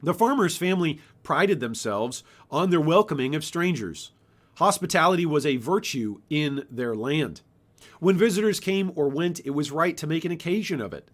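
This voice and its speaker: A man's voice: fairly high pitch, lively intonation, normal speed. A man in his thirties, an author and host, reading a children's story aloud and presenting his book.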